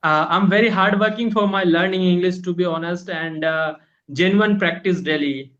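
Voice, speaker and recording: A man's voice: high voice, moderate pace, a bit bright, dull audio. A young man from India, a native Hindi speaker, earnestly asking questions in a casual English practice lesson, politely calling his teacher ma'am. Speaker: English learner. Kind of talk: online language lesson chat. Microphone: video call.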